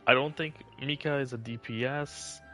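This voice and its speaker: A man's voice: medium pitch, fairly even intonation, moderate pace, bright, forceful tone, soft background music. A man chatting casually on a live game stream, rambling and thinking aloud as he goes.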